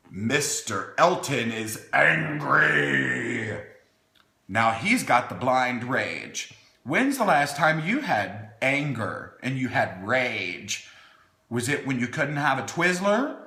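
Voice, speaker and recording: A man's voice: medium pitch, pushed and bright. A man hosting a playful storytime, reading a children's book with wry, chatty asides in a theatrical way, as if talking to small children. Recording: echoey room.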